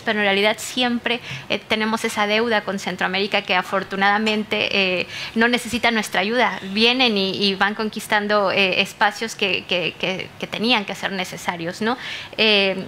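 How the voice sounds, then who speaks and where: A woman's voice: mid-range voice, pushed and bright, a little hiss or hum. A woman speaking earnestly and thoughtfully on a literature panel.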